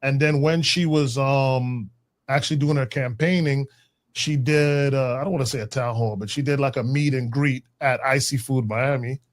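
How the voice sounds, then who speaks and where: A man's voice: mid-range voice, fairly even intonation, normal speed. A man co-hosting a podcast, telling a story in casual, slangy chat with frequent restarts. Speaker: podcast co-host.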